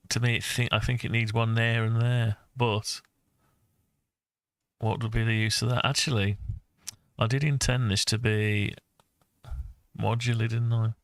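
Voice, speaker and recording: A man's voice: mid-range voice, no room sound, studio quality. A man giving a casual design walkthrough, thinking aloud in a hesitant, musing way as he talks through his ideas. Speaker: presenter of a hobby design project video.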